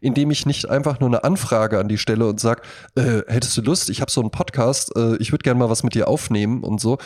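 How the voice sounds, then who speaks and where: A man co-hosting a podcast in casual conversation, telling things in an animated way and acting out what people said. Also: medium pitch, quick pace, no room sound. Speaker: podcast co-host.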